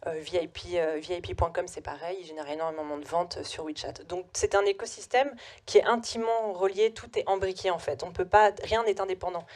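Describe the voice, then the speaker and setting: A woman's voice: on the low side, a little fast, darkish tone. A young adult woman speaking at a business conference and answering questions, with frequent hesitations and restarts. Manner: hesitant, many "euh" fillers and restarts. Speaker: conference speaker, company CEO. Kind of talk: conference talk with Q&A.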